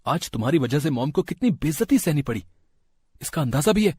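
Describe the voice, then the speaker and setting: A man voicing an angry young husband in a moral story, agitated, accusing and husky. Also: a little high, very animated, a little fast, darkish tone, dry recording, clean studio sound, voice pushed up, full character voice.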